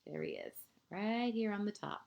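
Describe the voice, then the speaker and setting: A woman reading a picture book aloud to young children like a kindergarten teacher, gently and expressively, pausing between short phrases and often letting endings fall to a hush. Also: mid-range voice, lively intonation, vocal fry, muffled recording. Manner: deliberate pauses, short phrases, asides to young listeners.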